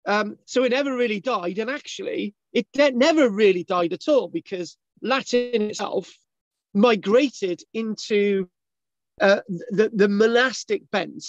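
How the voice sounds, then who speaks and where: A man's voice: high voice, unhurried, no room sound. Rambling man on a lo-fi video call. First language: English. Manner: rambling, many restarts and fillers, asks "right?". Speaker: host. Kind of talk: casual discussion on a video call. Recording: dull lo-fi video call audio.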